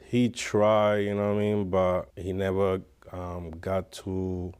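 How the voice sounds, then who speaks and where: Man, low-pitched, smooth clear voice, casual street slang, podcast guest, personal storytelling. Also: flat delivery, slow, darkish tone. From Puerto Rico. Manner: street slang, frequent "you know what I mean".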